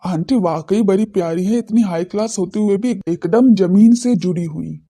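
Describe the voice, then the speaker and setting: An earnest young man in a family audio drama, acted by a man. His voice is very soft and dark in tone, and he speaks in a familiar way. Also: sits high, normal speed. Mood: casual.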